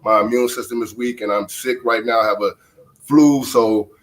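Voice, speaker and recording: A man's voice: mid-range voice, fairly even intonation, moderate pace. A middle-aged man from Chicago, a former baseball player guesting on a show, talking in a laid-back way with a stuffy, nasal voice from a flu. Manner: laid-back, casual, many fillers.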